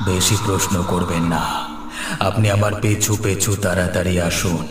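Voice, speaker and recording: A man's voice: deep voice, steady tone, noisy background, close to an everyday voice. A man voicing a sinister, commanding djinn who lures travellers to their doom in a horror cartoon. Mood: ominous. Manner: slow, ominous, commanding. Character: sinister male djinn luring travellers to drown, bloodthirsty, commanding. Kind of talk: animated horror story acting. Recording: echoing voice over loud music.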